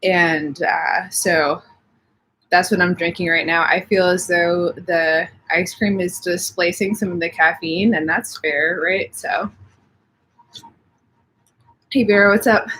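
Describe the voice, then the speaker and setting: A woman's voice: fairly low pitch, fairly even intonation, slow, a bit bright, a little hiss or hum, low-quality recording. A young woman with slightly sniffly allergies, chatting casually from home on a live stream and reading out viewer comments. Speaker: livestream host.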